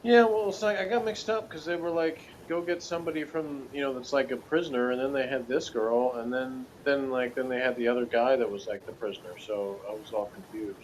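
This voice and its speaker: A man's voice: mid-range voice, fairly even intonation, a little fast, hardly any pauses, low-quality recording. A man bantering and arguing in a casual group review of a television show. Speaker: review panelist. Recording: faint background noise.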